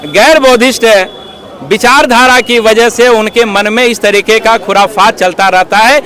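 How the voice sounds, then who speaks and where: High-pitched man, activist interviewee, formal, earnest, argumentative, filler phrases, outdoor street interview. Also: unhurried, a bit bright, poor audio.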